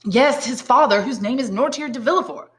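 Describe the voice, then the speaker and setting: A learned old prisoner priest from a classic novel , read aloud by a woman. He speaks deliberately and shrewdly, weighing each point like a patient tutor, with a kindly wisdom beneath the probing. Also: fairly high pitch, fairly bright tone, slight background noise, voice pushed up, lightly acted. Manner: deliberate, probing questions and measured explanations.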